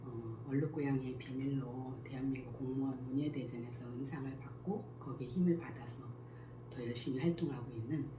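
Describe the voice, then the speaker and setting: A woman in her sixties, a school principal and picture book author, answering interview questions in a slow, modest, measured way. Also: extremely low pitch, steady tone. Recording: echoey room, faint background noise.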